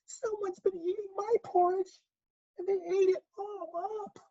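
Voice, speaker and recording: Lady doing a wee little baby bear boy, super high and squeaky, whiny and upset, storybook style. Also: steady tone, unhurried, dark tone, lo-fi sound. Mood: upset, tearful. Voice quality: tiny, squeaky, whining. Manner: tearful, plaintive wailing.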